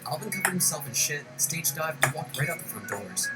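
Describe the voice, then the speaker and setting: Lively scripted male narrator over music. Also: on the high side, brisk. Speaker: video essay narrator. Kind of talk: scripted documentary-style narration.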